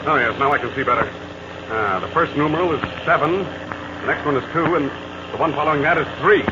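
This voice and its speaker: Captain Midnight, an actor as a brisk heroic pilot. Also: male voice, fairly high pitch, lightly acted. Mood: commanding. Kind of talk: 1940s radio acting. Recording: old noisy lo-fi radio transcription.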